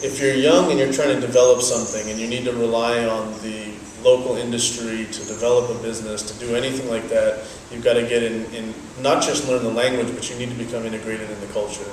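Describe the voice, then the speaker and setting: A young man whose first language is Dutch, giving measured, explanatory answers in an interview. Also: mid-range voice, steady tone, a little mellow, slight background noise. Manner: measured, explanatory, thoughtful pauses. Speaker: interviewee, business owner. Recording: very echoey, from a distance, faint ambience.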